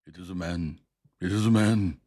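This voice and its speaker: A man voicing an excited ape-like beast-man who gabbles his words. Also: deep voice, normal speed, voice pushed up. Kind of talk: audiobook reading.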